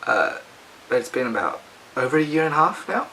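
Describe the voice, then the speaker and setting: Young aussie guy vlogging, bright voice, kinda hesitant and reflective, bit of hiss. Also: mid-range voice, sing-song, creaky, low-quality recording. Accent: Australian. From Australia (Adelaide). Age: young adult. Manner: hesitant, halting, sighing. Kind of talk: personal vlog, reflective casual talk.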